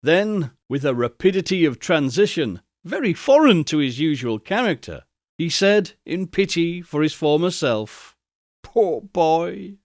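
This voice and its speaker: A man giving an expressive, measured dramatic reading of a literary story as narrator. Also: on the high side, no room sound, clean studio sound.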